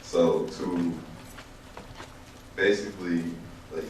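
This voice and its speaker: A young man on a student panel giving a halting answer full of fillers and restarts. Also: sits low, steady tone, darkish tone, a little hiss or hum. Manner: hesitant, halting, many fillers. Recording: echoey hall, distant mic.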